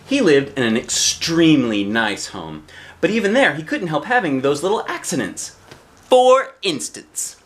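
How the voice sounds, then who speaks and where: Lively, animated man reading a storybook. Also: on the high side. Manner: expressive, drawn-out emphasis on key phrases. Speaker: storybook reader, a dad. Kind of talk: animated read-aloud of a children's storybook.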